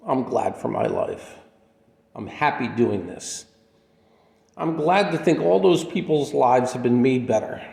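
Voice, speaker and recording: Man playing a creaky-voiced, kindly lonely wanderer in echo. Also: fairly high pitch, darkish tone. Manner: earnest, folksy, reflective. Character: kindly, lonely male wandering healer with little memory. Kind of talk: radio play dialogue. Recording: echoey room with long reverb.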